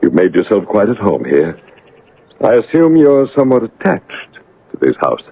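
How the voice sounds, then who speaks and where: Brooding gentleman master, low husky voice, animated swings, teasing and ardent, radio drama, dull old broadcast sound. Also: no room sound, exaggerated voice. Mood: cool, probing. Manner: teasing, abrupt, ardent, halting for effect. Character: brooding, commanding English gentleman master, passionate suitor.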